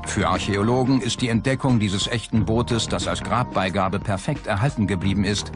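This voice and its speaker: A man's voice: on the low side, a little fast. Male documentary narrator over prominent music. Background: background music.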